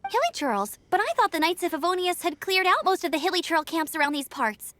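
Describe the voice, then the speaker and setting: A woman playing an earnest, upbeat young knight scout in a video game, brisk and determined in manner. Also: very high-pitched, animated, pushed and bright, no room sound, full character voice. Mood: curious, concerned.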